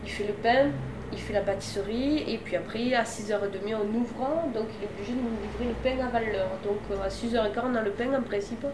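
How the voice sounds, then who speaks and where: A woman's voice: a little high, fast, roomy sound, background noise. Chatty bakery seller woman with a cold. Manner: chatty, jokes with customers. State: has a cold, blocked nose. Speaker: bakery shop assistant. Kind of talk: casual chat with customers and interviewer. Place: inside a bakery shop.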